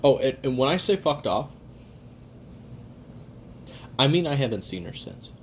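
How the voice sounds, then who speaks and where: A man playing a weary, jaded assassin who records a private confession in a halting, sardonic, casually profane way while eating. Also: mid-range voice, big pitch swings, slight background noise. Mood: blunt, irritated. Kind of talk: first-person confessional audio roleplay monologue.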